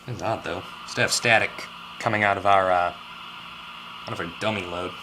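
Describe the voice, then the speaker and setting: A man giving a casual hands-on electronics repair tutorial, tentative and thinking out loud as he goes. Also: low voice, steady tone, fairly quick, background noise. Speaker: repair video host. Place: at a workbench indoors.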